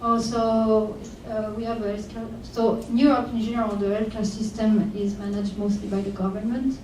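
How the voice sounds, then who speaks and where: A woman from France answers on a conference panel, pausing often with fillers. Her mellow voice comes from a distance in a reverberant room. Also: a little high, little pitch movement, a little hiss or hum. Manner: hesitant, many fillers. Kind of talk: panel discussion. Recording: echoey room, voice from far off.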